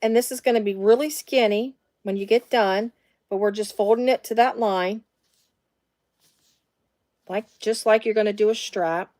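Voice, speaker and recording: A woman's voice: medium pitch, steady tone, moderate pace, pauses often, darkish tone, no room sound, clean studio sound. A middle-aged woman, hosting a casual live sewing demonstration from her home sewing room and rambling into side stories with lots of fillers. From Midwestern US. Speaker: sewing tutorial host.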